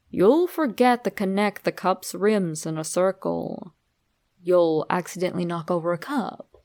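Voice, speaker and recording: A woman reading a scripted horror story straight to the listener in a dramatic, deliberate way. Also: fairly low pitch, gravelly, darkish tone. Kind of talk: scripted creepypasta narration, addressed to the listener.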